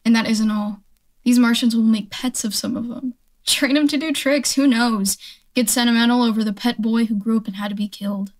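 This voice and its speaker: A woman's voice: fairly high pitch, fairly even intonation, fairly quick, natural voice. A woman playing a defiant, survival-minded militia stranger in a radio play, talking in a blunt, folksy, speechifying way. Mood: fierce, scornful. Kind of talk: radio drama acting.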